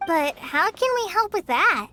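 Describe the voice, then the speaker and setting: Tiny cheeky fairy sidekick, anime game: very high, sharp, highly animated childlike chatter. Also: female voice, a little slow, slight background noise, exaggerated voice. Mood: curious. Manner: speaks of herself in third person, exclamatory. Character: small, cheerful, childlike floating fairy companion, chatty and cheeky. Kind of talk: anime-style game voice acting.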